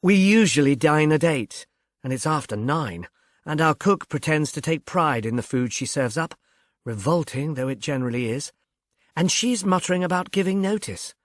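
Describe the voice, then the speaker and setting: A man's voice: a little high, animated, normal speed, no room sound, studio quality, natural voice. A man voicing a society mother in a storybook, airy and gracious with an affected, genteel manner. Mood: gracious, brisk.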